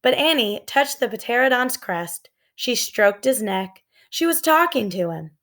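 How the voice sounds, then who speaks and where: A woman reading a children's story aloud as expressive narration, with measured, suspenseful phrasing. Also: fairly high pitch. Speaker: storybook reader.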